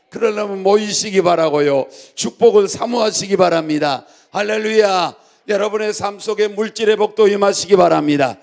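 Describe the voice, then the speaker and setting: A male pastor preaching a church sermon in a gravelly voice, exhorting and repeating himself as he prompts the congregation to respond. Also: high voice, a little mellow.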